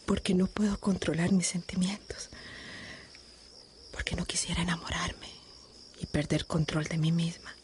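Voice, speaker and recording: An actress playing a hesitant woman falling in love, soft and breathy, in a romantic radio drama. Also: fairly low pitch, steady tone, moderate pace, pauses often, slight background noise, close to an everyday voice. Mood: tender, torn.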